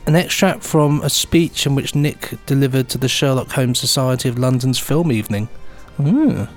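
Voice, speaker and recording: A man's voice: mid-range voice, moderate pace, soft background music. A man co-hosting a podcast in an informal, chipper chat, often chiming in. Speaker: podcast co-host. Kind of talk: casual chat.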